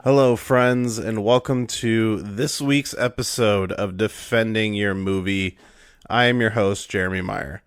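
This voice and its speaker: Hoarse, raspy male podcast host. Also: on the low side, fairly even intonation, a little slow, dry recording, studio quality. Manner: rambling with many fillers and restarts. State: hoarse from a cold. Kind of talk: casual podcast intro.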